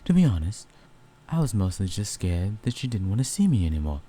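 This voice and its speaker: A man's voice: fairly low pitch, sing-song, fairly quick, dark tone. A man voicing a teasing, competitive male werewolf in an audio roleplay, talking casually and bluntly to a partner. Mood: sincere.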